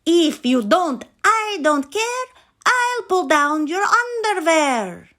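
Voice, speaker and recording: A woman's voice: very high-pitched, big pitch swings, slow, pushed and bright, pitched above the performer's own voice, exaggerated voice. A woman voicing a cheeky, eager young boy frog in a theatrical, exclamatory storybook style. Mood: cheeky chanting. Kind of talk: animated children's storybook character voice.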